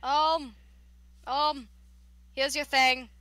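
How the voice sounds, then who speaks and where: A woman from East Tennessee with a Southern accent, chatting excitably and playfully as a player in a tabletop game. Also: high-pitched, very slow, no echo. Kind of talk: casual chat during a tabletop game.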